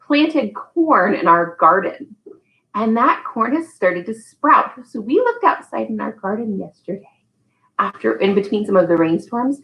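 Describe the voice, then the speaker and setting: Woman, storytime teacher, very lively intonation, unhurried pace, emphatic child-directed reading, roomy indoor sound. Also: medium pitch. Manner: animated, emphatic, addressing young children. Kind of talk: children's read-aloud and singalong. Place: indoors at home. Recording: echoey room.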